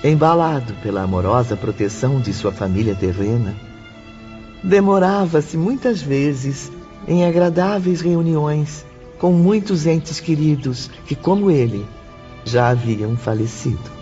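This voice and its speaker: A man narrating a scripted radio drama in a measured, serene storytelling manner. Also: a little high, lively intonation, warm tone. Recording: dull recording with faint music.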